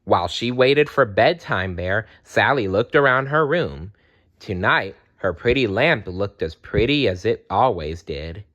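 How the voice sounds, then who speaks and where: A man reads a children's story aloud as an expressive storyteller. His voice sits a bit low and swings widely in melody. The recording sounds slightly dull. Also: measured pace.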